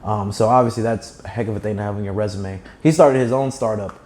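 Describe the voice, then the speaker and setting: A man's voice: on the low side, fast, a little mellow. A young man with a slight lisp, speaking fluently and emphatically as a guest telling stories and giving advice in conversation. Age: young adult. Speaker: guest, startup founder. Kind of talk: animated conversational storytelling and advice.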